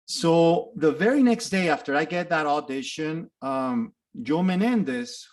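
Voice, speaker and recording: A man's voice: on the high side, measured pace. A middle-aged man from Mexico with a Mexican Spanish accent, Spanish his first language, telling stories as a guest in a casual interview. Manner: animated storyteller with frequent restarts and laughs. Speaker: guest actor.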